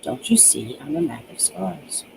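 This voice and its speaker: Woman acting haunted asylum patient, lively pitch. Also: unhurried, vocal fry, a little hiss or hum, exaggerated voice, poor audio. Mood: haunted, bleak.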